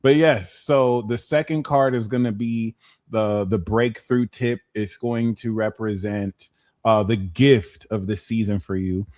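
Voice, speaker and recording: A man's voice: lowish voice, darkish tone, no room sound, studio quality. A man hosting a live pick-a-card tarot reading, talking in a playful, slangy way with jokes in between. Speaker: tarot reader and host.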